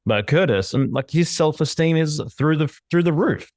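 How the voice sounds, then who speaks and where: A man's voice: on the high side, expressive, moderate pace, darkish tone, no room sound, studio quality. A man co-hosting a podcast, talking with enthusiasm in a motivational way and swearing casually. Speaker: podcast co-host.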